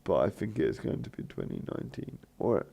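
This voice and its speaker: A man with a croaky, gravelly voice chats loosely over his game footage, stopping and restarting his sentences. His voice is low and dark, and it rises and falls a lot as he rambles. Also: big pitch swings, brisk. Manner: hesitant, many fillers and restarts. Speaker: gaming channel host. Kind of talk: rambling let's play commentary.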